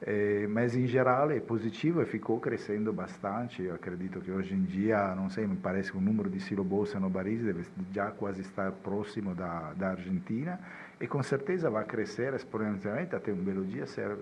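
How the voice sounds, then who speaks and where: Italian-accented man, low-pitched guest. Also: moderate pace, a little mellow, a little hiss or hum. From Italy. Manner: hesitant, repeats words while searching. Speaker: guest chemist. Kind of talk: podcast interview.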